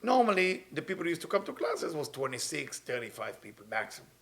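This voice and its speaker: A man, his voice sitting a little higher than most men's. He teaches with earnest conviction, circling back over his phrases in loose grammar. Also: big pitch swings, quick pace, creaky, a bit bright. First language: Hebrew. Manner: earnest, repeats phrases, non-native grammar. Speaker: kabbalah teacher. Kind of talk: weekly spiritual talk.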